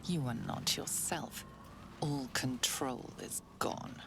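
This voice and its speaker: A woman narrating a video game in the second person, in a hushed, ominous, whispered voice. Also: a bass voice, slow, background noise, full character voice.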